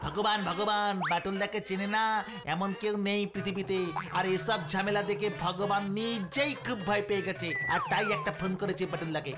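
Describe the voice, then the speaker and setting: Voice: mid-range voice, brisk, music in the background, natural voice. An actor voicing a mischievous, silly, food-loving young boy sidekick in a cartoon, cheeky and rambling with a whiny edge. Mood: cheeky, excited. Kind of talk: Bengali cartoon voice acting.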